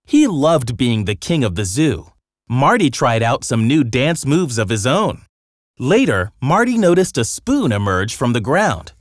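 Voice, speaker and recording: Storybook narrator for kids, really animated reading, dry clean sound, dramatic. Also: mid-range voice, measured pace, no echo. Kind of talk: scripted children's story narration.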